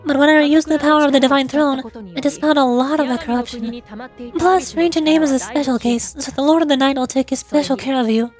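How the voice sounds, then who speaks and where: A woman's voice: high voice, steady tone, fast, close to an everyday voice. A woman voicing a determined, burdened female warrior ruler with earnest resolve, in fantasy game dialogue. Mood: explanatory. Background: music.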